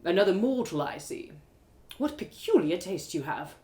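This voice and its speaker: A woman's voice: on the low side, very animated, moderate pace, darkish tone. A woman voicing a sly, haughty male elf who drawls with mocking politeness in a told fantasy story. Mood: silky, mocking. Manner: drawling, falsely polite, taunting.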